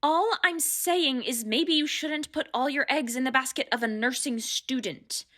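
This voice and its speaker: A woman's voice: high voice, a little slow, a bit bright. An actress playing a snippy, distrustful woman in a radio play, talking in a cutting, sardonic way. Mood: scornful. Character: snippy, distrustful woman protective of pregnant wife. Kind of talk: radio drama acting.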